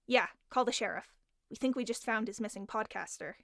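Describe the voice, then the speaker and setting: An actress playing a wry, brisk police patrol officer in an audio drama, with a dry, matter-of-fact delivery. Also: female voice, on the high side, vocal fry, a bit bright, dry recording. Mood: businesslike.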